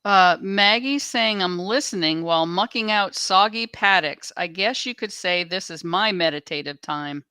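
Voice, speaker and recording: Woman, crisp bright voice, fairly low, close dry sound, reading messages aloud. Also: moderate pace, few pauses, dry recording. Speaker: show assistant reading viewers' messages.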